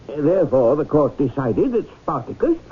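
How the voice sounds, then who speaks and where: An actor playing a solemn, patient male lawyer who talks in a formal, measured, legalistic way in a 1970s radio drama. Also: on the high side, sing-song, a little hiss or hum. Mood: explanatory, pompous. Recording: tinny narrow-band 1970s radio recording.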